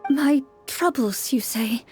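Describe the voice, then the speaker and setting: Female game master, high voice, measured, soft-spoken, video game storytelling, light music behind. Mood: troubled, polite. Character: game master narrating and playing a troubled soft-spoken townswoman. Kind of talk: tabletop game narration and in-character dialogue.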